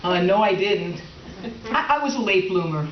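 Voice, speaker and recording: A woman's voice: medium pitch, lively intonation, normal speed, close to an everyday voice. A woman playing a middle-aged wife, hesitant and politely exasperated, in a comic stage reading. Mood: strained politeness. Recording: distant echoey room, muffled, noisy.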